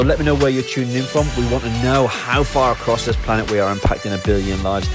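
Male podcast host, upbeat motivational outro, lowish voice, music playing behind. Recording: clear music bed behind voice.

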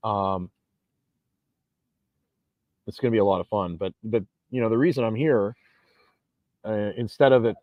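A man's voice: on the low side, a little slow, pauses often, no room sound, narrow, tinny audio. A man in his forties talking casually in an emphatic, rambling monologue, recorded outdoors. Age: 40s. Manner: rambling, emphatic, frequent restarts. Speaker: vlogger and gym owner. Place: outdoors on a beach.

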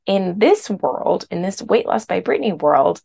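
A woman's voice: lowish voice, expressive, a little slow, creaky, darkish tone, husky. Froggy-voiced woman podcast host. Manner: chatty, candid, uses filler words. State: hoarse, recovering from strep throat. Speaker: podcast host and weight loss coach. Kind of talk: casual podcast monologue.

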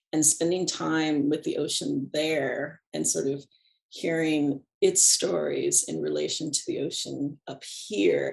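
A middle-aged woman guest in a reflective conversational interview, speaking thoughtfully and unhurriedly with soft laughs between thoughts. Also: sits low, creaky. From United States. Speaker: podcast guest, animist and ritualist.

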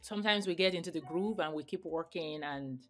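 A woman's voice: low-pitched, brisk, faint music behind. A woman hosting an interview podcast, upbeat and enthusiastic, with emphatic swells as she greets her guest. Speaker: podcast host.

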